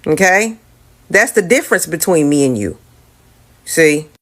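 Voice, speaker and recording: A woman with a lowish voice lays out her argument slowly and deliberately. She presses each point and keeps checking in with "okay?" in a firm, lecturing tone. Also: lively intonation, a bit bright. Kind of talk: argumentative commentary clip.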